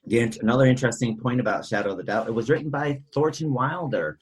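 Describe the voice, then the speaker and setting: Male podcast host, husky mid-pitched voice, casual and chatty film discussion, informative and loose. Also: fast.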